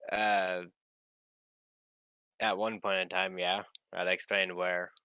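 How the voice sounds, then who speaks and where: Low, crisp-voiced male player. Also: flat delivery, slow pace, bright, forceful tone, no room sound. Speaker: tabletop game player. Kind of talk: casual in-game talk.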